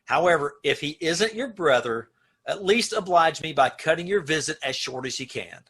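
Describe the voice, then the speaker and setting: A man's voice: on the high side, expressive, moderate pace, fairly bright tone, breathy edge, exaggerated voice. A man playing a frank, formal, doubtful but obliging prison warden in an amateur radio play. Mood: firm, obliging. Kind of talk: amateur old-time radio play acting.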